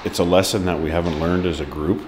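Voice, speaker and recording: A man's voice: sits low, fairly even intonation, fast talker, noisy background. A man in his forties, a hockey head coach, giving a frank post-match interview full of ums and restarts. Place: indoor press room before a sponsor board.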